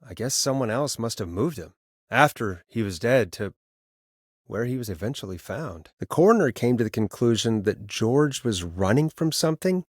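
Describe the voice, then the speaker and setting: A man's voice: mid-range voice, expressive, moderate pace, no room sound, close to an everyday voice. Casting: male actor for uneasy radio host playing detective in a video game, conversational, wry, close dry recording. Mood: puzzled, investigative. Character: male late-night radio DJ turned uneasy amateur sleuth. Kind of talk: naturalistic video game voice acting.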